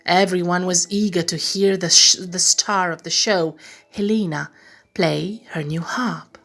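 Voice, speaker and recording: A woman reads a scripted bedtime story as narrator. Her delivery is unhurried and expressive, with a soft husky edge, and music plays underneath. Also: lowish voice.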